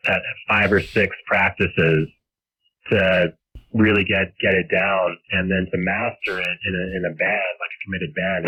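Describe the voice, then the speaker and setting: Husky deep man over dull radio. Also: pushed and bright. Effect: radio transmission. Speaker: amateur radio operator. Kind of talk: casual radio chat. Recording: dull, husky-sounding ham radio signal.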